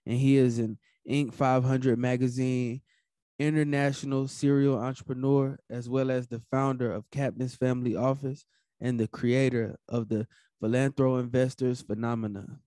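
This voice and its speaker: Mellow, even-toned male host. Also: mid-range voice, normal speed, dry recording. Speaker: podcast host. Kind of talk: casual interview.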